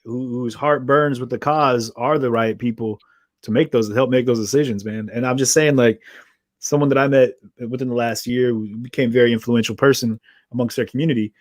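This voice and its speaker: A man's voice: mid-range voice, fairly even intonation, brisk, no room sound. Dry-voiced man hosting a casual interview. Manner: rambling, earnest, trails off and restarts. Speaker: podcast host. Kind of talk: conversational interview.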